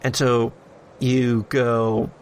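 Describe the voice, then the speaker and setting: A man working as a game master, narrating tabletop horror in a deliberate, suspenseful way with drawn-out pauses. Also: medium pitch, level tone, a little hiss or hum.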